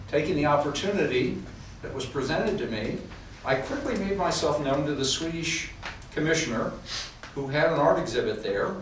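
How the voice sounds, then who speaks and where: A man's voice: a little high, a little hiss or hum, natural voice. A man playing a courteous professor who welcomes guests and tells his life story in a formal, storytelling manner at a staged reenactment. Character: genial older male art professor hosting guests. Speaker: actor in a historical reenactment. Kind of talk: staged period reenactment monologue. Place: large echoey room in a house. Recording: distant mic, echoey room, audible ambience.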